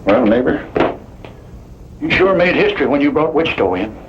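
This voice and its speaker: An actor playing a folksy, talkative, worrying cowboy sidekick with wry rural speech in an old-time radio western. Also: male voice, fairly high pitch, sing-song, moderate pace, background noise. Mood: wry, urging. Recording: muffled old radio broadcast, music behind.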